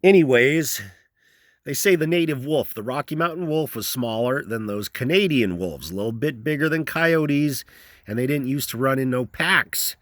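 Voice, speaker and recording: A man's voice: medium pitch, moderate pace, a bit bright, dry recording, clean studio sound, voice pushed up, close to an everyday voice. A man voicing an opinionated middle-aged rural man who rambles in folksy, ungrammatical speech. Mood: rambling. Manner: folksy drawl, ungrammatical, long-winded.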